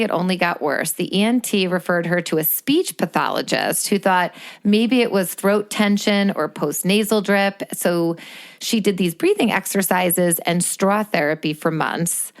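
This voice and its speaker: Lower-voiced woman telling a story. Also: moderate pace. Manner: animated storyteller, laughs easily. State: voice sometimes raspy from reflux. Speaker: podcast co-host. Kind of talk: casual podcast chat.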